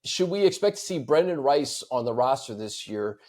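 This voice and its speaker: Regular mid pitch guy hosting a sports q&a, casual chatty talk, reading fan questions. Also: very animated, normal speed, a little mellow.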